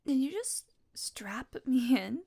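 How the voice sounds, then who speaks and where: Slow, weary, sardonic vampire woman, acted by a woman. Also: high-pitched, sing-song, warm tone, heavily acted. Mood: weary, wry. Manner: sardonic, halting, breathy asides. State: weak, tired. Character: weakened captive female vampire, wry, teasing, wounded. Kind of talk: audio roleplay acting addressed to listener.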